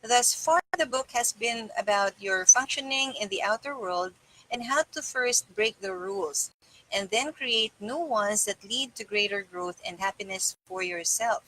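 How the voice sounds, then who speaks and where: A woman's voice: mid-range voice, unhurried, bright, forceful tone, low-quality recording. A middle-aged woman reading a self-help book aloud in a small room indoors. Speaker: reader.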